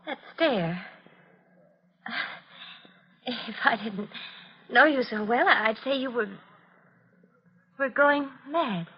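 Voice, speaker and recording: An anxious, devoted wife in old radio horror. Her voice is rather high and breathily husky, with hesitant, stammering, lively inflection. It sounds like a dull vintage recording. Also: slow pace, pauses often, full character voice. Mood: nervous. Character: anxious, fluttery, devoted wife of uneasy husband. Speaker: actress in radio drama. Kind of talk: 1940s radio horror acting.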